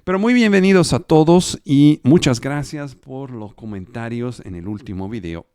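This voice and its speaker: A man teaching a live online Bible study in Spanish, which is not his first language, explaining scripture step by step and pausing on fillers. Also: medium pitch, expressive, mellow, clean tone. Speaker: preacher.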